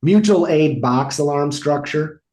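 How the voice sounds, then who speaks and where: An American man speaks in a casual panel discussion. His voice is very mellow and soft-edged, with an easygoing, unforced tone. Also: fairly high pitch, animated, measured pace. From United States. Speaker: podcast panelist on emergency dispatch.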